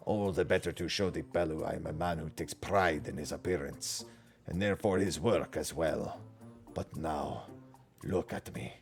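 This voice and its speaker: A man voicing a polite, proud reptilian tradesman in a video game, low and hushed, with a formal, rueful manner. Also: normal speed, vocal fry, faint music behind.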